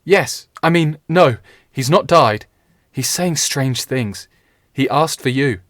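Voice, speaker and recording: A man's voice: medium pitch, normal speed, darkish tone, dry recording, studio quality, voice pushed up, natural voice. A man voicing an anxious, earnest young page in a colony, who talks in a breathless, urgent way.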